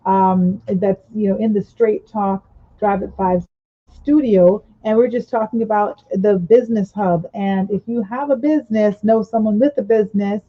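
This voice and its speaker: A woman's voice: medium pitch, fairly even intonation, moderate pace, muffled recording. A woman hosting a radio talk show from a small studio, chatty and upbeat, with lots of fillers. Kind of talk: casual radio interview hosting. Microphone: studio mic on boom arm, headphones.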